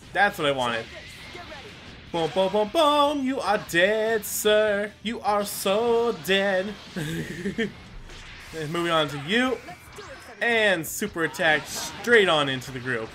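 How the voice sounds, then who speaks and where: High-voiced man doing casual game commentary. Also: expressive, slow, pauses often, bright, forceful tone, soft background music. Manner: rambling, exclamatory, mild swearing. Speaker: gaming video host.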